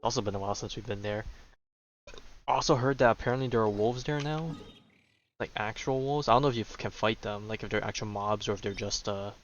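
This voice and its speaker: A man's voice: fairly low pitch, fairly quick, slight background noise. Man casually commentating a game, muffled mic. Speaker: gaming video host. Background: game music.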